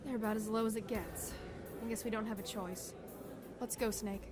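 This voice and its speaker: A woman's voice: mid-range voice, steady tone, normal speed, close to an everyday voice. A woman playing a brash young female soldier in a radio drama, blunt, sassy and impatient. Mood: sassy, skeptical. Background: loud music.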